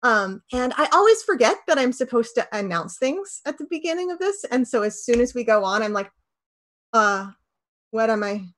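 A woman's voice: fairly high pitch, sing-song, normal speed, fairly bright tone. A woman in her thirties hosting a casual livestream interview over a video call, chatty and rambling with lots of fillers. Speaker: podcast host.

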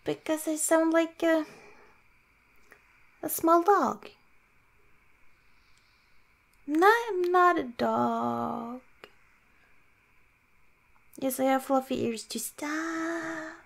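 A woman voicing a cute, insecure young werewolf girlfriend in an improvised ASMR roleplay, speaking to the listener in a halting, pouty, self-deprecating way. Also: high-pitched, gravelly, exaggerated voice. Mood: pouty, protesting.

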